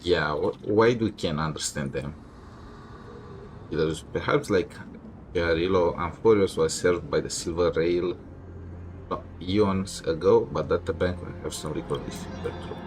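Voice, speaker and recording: A man's voice: deep voice, unhurried, a little hiss or hum, rough recording. A man giving casual, irreverent gameplay commentary, heckling characters and reading dialogue aloud. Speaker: game streamer. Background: loud game music.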